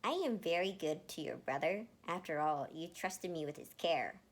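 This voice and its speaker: A woman's voice: low-pitched, animated, normal speed. A woman voicing a cocky, enthusiastic boy in an amateur story reading. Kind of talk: amateur fanfiction read-aloud. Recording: noticeable background noise.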